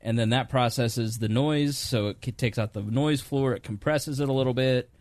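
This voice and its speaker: A man's voice: mid-range voice, normal speed, a little mellow, no echo. Rambling man hosting a podcast, dry sound. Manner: rambling, self-deprecating, explains gear in detail. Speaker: podcast host. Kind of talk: casual studio chat. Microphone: studio dynamic microphone.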